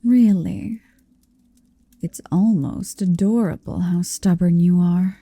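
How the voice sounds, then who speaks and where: A very slow, very mellow dragoness acted by a woman murmurs in a breathy, often whispered ASMR roleplay voice with some creak. She is huge, possessive and domineering, teasing with deliberate menace. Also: lowish voice, no room sound, heavily acted. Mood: offended, teasing. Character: towering possessive female dragon in human form.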